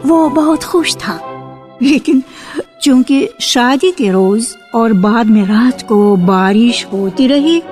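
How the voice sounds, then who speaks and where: A woman narrating a radio drama from a script in a measured storytelling manner. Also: a little high, expressive, a little mellow. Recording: archival radio with faint music.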